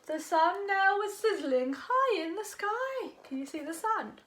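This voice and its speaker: A woman reading a rhyming picture book aloud to children in an expressive, engaging way. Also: very high voice, unhurried. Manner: expressive, asks the young listener questions. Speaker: children's storyteller.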